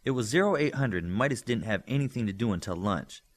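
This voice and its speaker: A man reading a story aloud as scripted, expressive narration. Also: lowish voice, fast talker. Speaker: audiobook reader.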